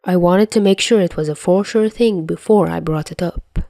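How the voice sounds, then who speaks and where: A woman voicing a calm, amused, matter-of-fact man in a story reading. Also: fairly low pitch, normal speed, creaky, dry recording, clean studio sound. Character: calm, amused, unhurried man, older mafioso.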